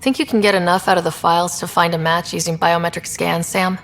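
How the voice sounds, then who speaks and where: A woman playing a brisk, sharp young human explorer and leader in a video game, speaking in a matter-of-fact way. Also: lowish voice, fairly even intonation. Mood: investigative, businesslike. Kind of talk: video game dialogue acting.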